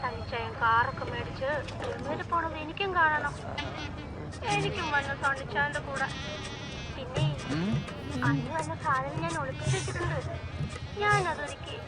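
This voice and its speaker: A woman plays a coaxing, flirtatious Kerala woman in a film scene. Her voice is high and sweet-talking, with loud music underneath. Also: normal speed, pauses often, close to an everyday voice. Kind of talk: film dialogue.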